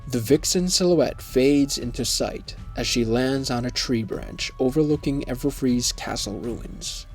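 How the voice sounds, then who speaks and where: A man narrating a scripted fantasy story in a measured, descriptive way. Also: mid-range voice, soft background music.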